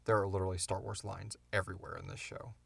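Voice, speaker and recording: A man's voice: low-pitched, level tone, fast, vocal fry, fairly bright tone, breathy edge, no echo. A man chatting casually as a podcast co-host, rambling along with enthusiasm as he answers questions. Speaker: creator and co-host of a fiction podcast. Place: small enclosed recording booth.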